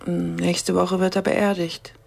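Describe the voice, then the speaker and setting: A sober woman with a gravelly, breathy voice. She is sympathetic and reflective, putting quiet questions in naturalistic radio drama style. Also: lowish voice, little pitch movement, slow pace, exaggerated voice. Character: sober, sympathetic woman inquiring into a dead stranger.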